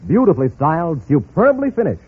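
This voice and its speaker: Male American commercial announcer, animated rhyming read, fairly high, on tinny vintage radio. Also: brisk, breathy edge. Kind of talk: rhyming scripted old radio advertisement. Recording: tinny old radio recording.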